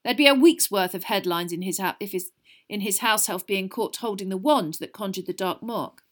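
A woman's voice: medium pitch, normal speed, natural voice. A woman voicing a tired, irritable middle-aged father in a storybook reading. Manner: weary, grumbling.

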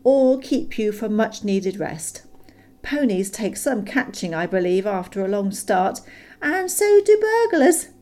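A woman voicing a small, nervous male hobbit who flatters in a high, squeaky voice. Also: a little slow. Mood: polite.

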